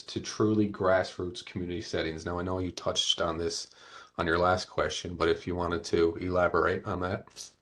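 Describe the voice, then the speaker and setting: A man with a very deep voice runs the Q&A part of a panel discussion. He reads the audience questions aloud in a calm, measured way. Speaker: moderator reading audience questions. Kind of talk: hosting a webinar Q&A.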